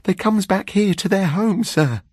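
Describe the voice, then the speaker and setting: A man voicing an uneasy, superstitious housekeeper woman in a storybook reading, with halting, ungrammatical country speech. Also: high-pitched, a little mellow, breathy edge, no room sound, clean studio sound, voice pushed up. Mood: ominous, hushed awe.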